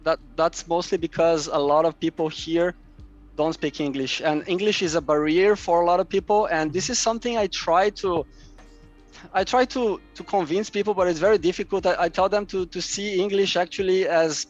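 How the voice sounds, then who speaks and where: A man's voice: sits high, normal speed, lo-fi sound, faint music behind. A man in his thirties from Brazil with a Brazilian accent and Portuguese as his first language, a guest in a casual chat who often repeats words as he searches for them. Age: 30s. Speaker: guest, map publisher.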